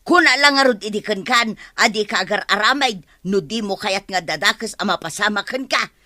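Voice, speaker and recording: A woman's voice: medium pitch, moderate pace, bright, forceful tone, no room sound, clean studio sound, close to an everyday voice. A woman with an Ilocano accent playing a stern, reproachful villager in a comedy radio drama.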